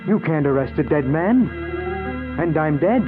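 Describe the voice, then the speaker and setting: A distraught man, insists slowly and desperately in a raised voice. It is old radio melodrama on a thin recording with music behind. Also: on the high side, animated. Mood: desperate, insistent. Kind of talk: 1940s radio acting. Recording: tinny 1940s radio recording.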